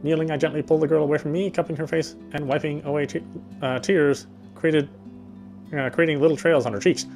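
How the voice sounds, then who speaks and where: A man reading first-person visual novel narration quickly, with frequent slips and corrections. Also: a little high, fairly even intonation, a little mellow, faint music behind.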